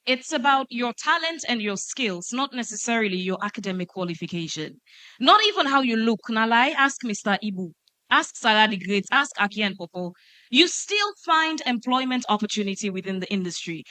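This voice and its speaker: A woman moderating a conference panel, posing questions to the panelists in a lively way. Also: fairly high pitch, fairly quick, no echo. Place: conference stage.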